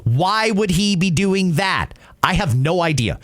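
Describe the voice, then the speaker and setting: A man's voice: sits high, lively intonation, measured pace, fairly bright tone. A middle-aged man hosting an opinionated talk show in an emphatic, conversational way. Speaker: podcast host. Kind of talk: opinionated talk radio commentary.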